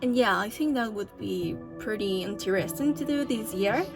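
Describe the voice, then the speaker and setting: A woman's voice: medium pitch, expressive, normal speed, music in the background. A young woman talking casually about her own language goals, with many hesitations and fillers, in a language that is not her first. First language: not English. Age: young adult. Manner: hesitant, many fillers and restarts, soft laughs. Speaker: language-learning vlogger. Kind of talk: casual vlog talk.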